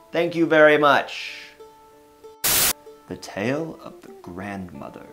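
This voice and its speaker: A storyteller retelling dark fairytales in a dramatic yet casual way, with wry conversational asides. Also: mid-range voice.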